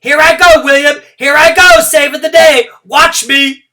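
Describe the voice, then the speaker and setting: A woman voicing a bouncy, bossy kindergarten girl who calls herself captain, talking loudly and boastfully. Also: sits low, big pitch swings, slow, distant mic, full character voice. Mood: shouting. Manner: exuberant, loud, boastful.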